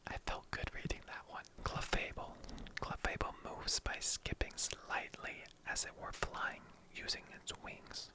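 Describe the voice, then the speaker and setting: An online creator whispers throughout in a breathy, unvoiced ASMR style. The reading is soft and playful, like sharing short encyclopedia entries with chatty asides. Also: a little slow.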